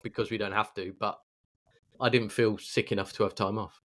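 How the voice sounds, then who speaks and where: A man's voice: fairly low pitch, little pitch movement, brisk, a bit bright. A man with a British accent chatting casually as a podcast co-host, given to jokey, sarcastic banter. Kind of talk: casual chat.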